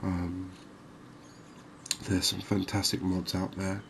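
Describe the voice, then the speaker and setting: A soft-spoken man giving unhurried, soothing ASMR commentary over a video game. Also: sits low, monotone, creaky, a little hiss or hum. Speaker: gaming video host.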